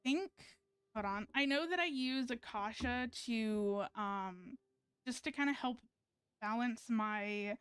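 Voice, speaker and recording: Very clear, higher-voiced woman streaming games. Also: takes it slowly, a bit bright, no room sound. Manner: hesitant, thinking aloud while playing. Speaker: video game live streamer. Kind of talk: casual chat to stream viewers while gaming.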